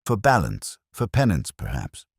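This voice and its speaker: A man voicing a poised, calculating woman schemer in a storybook reading, softly and deliberately. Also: low-pitched, wildly swooping pitch, vocal fry, breathy edge, dry recording, clean studio sound, pitched above the performer's own voice, exaggerated voice. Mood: calculating, defiant. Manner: soft, composed, deliberate.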